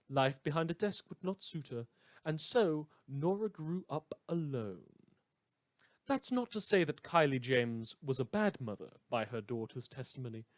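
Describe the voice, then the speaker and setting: A man's voice: medium pitch, no room sound, lightly acted. A man playing a villainous, anarchic radio host who tells stories in a theatrical, dramatic scripted monologue. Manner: theatrical, storytelling with dramatic emphasis.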